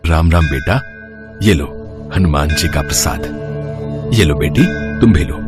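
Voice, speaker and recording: A man voicing an elderly devout village priest who speaks softly and kindly. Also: rumbling low, expressive, unhurried, over loud music. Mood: gentle.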